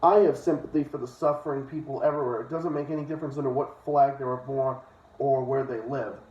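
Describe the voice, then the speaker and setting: A man's voice: medium pitch, steady tone, fast, a little mellow, lightly acted. A man reading the part of a defiant, idealistic socialist orator from a history comic, in an earnest, declarative way. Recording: echoey room, distant dull microphone.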